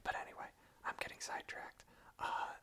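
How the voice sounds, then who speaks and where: An ASMR host speaking in a hushed, breathy, unvoiced whisper. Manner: slow, hushed delivery. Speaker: ASMR host reading song lyrics aloud. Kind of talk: whispered ASMR, reading and casual chat.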